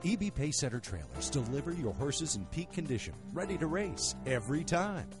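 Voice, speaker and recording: A man reading a scripted commercial in a smooth, measured way. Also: a little high, very animated, music in the background. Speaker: ad announcer.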